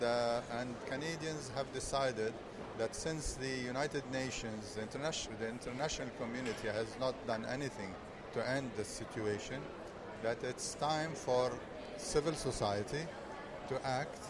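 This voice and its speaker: A man from Nazareth being interviewed outdoors, speaking earnestly and haltingly with many fillers and restarts. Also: mid-range voice. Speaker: interviewee, activist. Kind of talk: street interview. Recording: loud street traffic noise.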